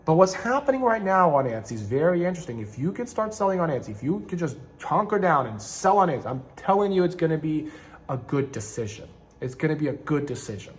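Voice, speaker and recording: A man's voice: on the high side, very animated, fast talker. A middle-aged man from Ukraine who hosts a channel, talking casually to camera in a rambling way and often correcting himself mid-sentence. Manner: rambling, self-correcting, conversational with frequent restarts. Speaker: channel host, online seller and manufacturer.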